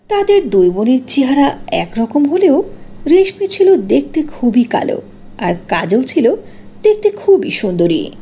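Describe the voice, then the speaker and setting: Female fairy-tale narrator: fairly high voice, very lively intonation, faint music underneath.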